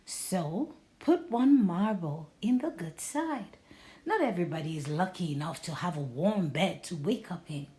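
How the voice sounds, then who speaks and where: Storybook mom, played by a woman: patient, coaxing, reassuring, soft-toned and unhurried. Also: on the low side, expressive. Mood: encouraging. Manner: encouraging, reassuring. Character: patient, gently coaxing mother, a little mysterious.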